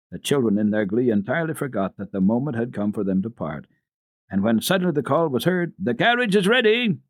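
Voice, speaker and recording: A man giving an expressive, careful dramatic reading of classic children's literature as a radio storyteller. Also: medium pitch, no room sound.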